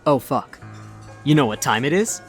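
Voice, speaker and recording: A man playing a cocky, ironic teenage boy in a game fan dub, slangy and cool, full of deadpan bravado. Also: medium pitch, normal speed, close to an everyday voice. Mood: smug, enthused. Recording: music under the voice. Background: background music.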